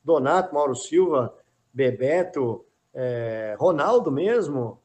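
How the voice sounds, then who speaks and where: A man's voice: mid-range voice, expressive, slow, a little mellow. A middle-aged former footballer as a podcast guest, talking in a casual, hesitant way and often repeating words while he thinks. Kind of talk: casual interview chat.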